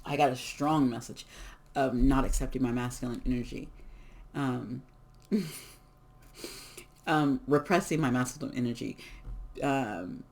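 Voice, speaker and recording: A card reader giving a casual collective reading, hoarse from losing their voice, talking in an emphatic, encouraging way with many fillers. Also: mid-range voice, slow pace, pauses often. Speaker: tarot and oracle card reader.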